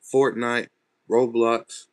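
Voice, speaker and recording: A man's voice: medium pitch, level tone, very slow. A young man from Texas, chatting casually on a late-night livestream and answering viewers' comments in loose, slangy talk. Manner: laid-back, chatty, loose slangy phrasing. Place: bedroom at home.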